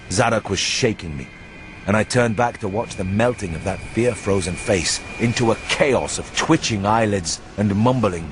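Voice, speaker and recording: A man reading literary horror narration for radio in a measured storytelling manner. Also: on the low side, background noise. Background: music.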